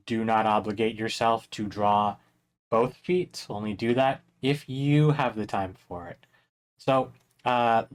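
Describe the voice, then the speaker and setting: A young man, a little hoarse, teaching a drawing class on a live stream in a chatty, rambling way with many fillers. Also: fairly low pitch. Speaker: art instructor streaming a drawing workshop.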